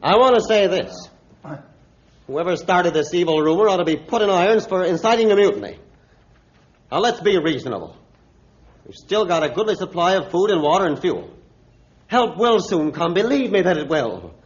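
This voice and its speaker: A commanding sea captain in a 1950s radio play. His voice sits fairly high, declamatory and steadfast, on a dull old recording. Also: big pitch swings, moderate pace, long pauses. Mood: firm. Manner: authoritative, declamatory. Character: stern, commanding male ship's captain, steadfast and reasonable.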